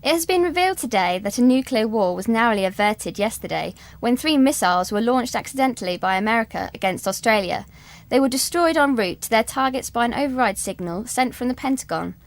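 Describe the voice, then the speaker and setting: A woman's voice: fairly high pitch, expressive, fairly quick. A woman playing a composed, formal television news reader delivering a scripted bulletin in a radio spoof.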